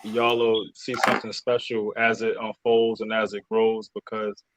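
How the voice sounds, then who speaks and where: A man speaking as a cast member in a casual interview, halting and repeating his words. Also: lowish voice, monotone, dry recording, low-quality recording. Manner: halting, repetitive.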